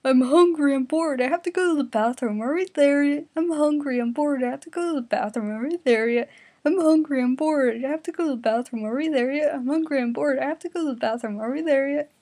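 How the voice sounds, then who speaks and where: A woman's voice: high voice, fairly quick, warm tone, no echo, voice pushed up, close to an everyday voice. A woman voicing an impatient, oafish teenage boy with a whining, complaining delivery in a story reading. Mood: whiny, bored.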